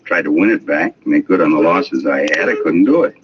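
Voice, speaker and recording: An actor playing a cornered, defensive American gambler with a terse, agitated manner, in a 1950s radio crime drama. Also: male voice, extremely low pitch, a little fast. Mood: desperate, bitter confession. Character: cornered, defensive gambler man turned bitter confessor. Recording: dull, hissy old radio recording.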